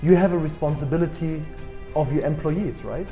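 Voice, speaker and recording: A man in his forties with a German accent, answering interview questions thoughtfully with many pauses and restarts. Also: a little high, dark tone, echoey room, faint music behind. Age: 40s. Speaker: guest executive.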